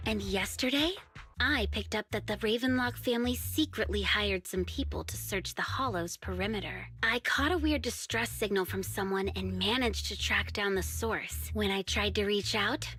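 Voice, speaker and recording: A woman's voice: medium pitch, moderate pace, a bit bright, natural voice. A woman playing a confident, teasing hacker who sells information and looks out for her friends, in a casual, breezy video game style. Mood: businesslike. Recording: game music playing behind the voice.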